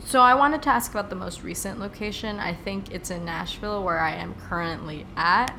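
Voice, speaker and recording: A woman's voice: mid-range voice, fairly quick, gravelly, pushed and bright, slight background noise. A woman interviewing a guest, asking casual questions with fillers.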